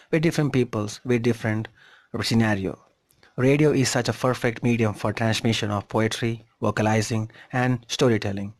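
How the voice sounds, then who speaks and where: A man's voice: medium pitch, dry recording. A man reading a scripted history narration as a documentary narrator.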